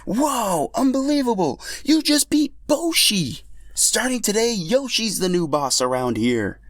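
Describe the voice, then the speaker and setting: A man voicing an excitable little mushroom-headed race announcer in a peppy, announcing manner for a story. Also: high voice, measured pace, no echo, studio quality, pitched above the performer's own voice, heavily acted. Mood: excited.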